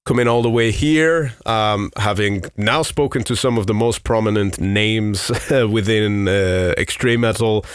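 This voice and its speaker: A man's voice: lowish voice, a little slow, clear, resonant tone, no echo, clean studio sound. A man in his thirties from Iran, hosting his own podcast in a candid, rambling way with many fillers. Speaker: podcast host. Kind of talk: casual solo podcast talk.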